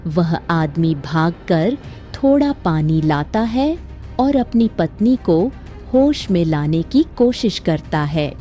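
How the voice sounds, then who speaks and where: A woman's voice: fairly low pitch, sing-song, slow, dark tone, faint music behind. A woman reading a scripted moral story as a dramatic narrator.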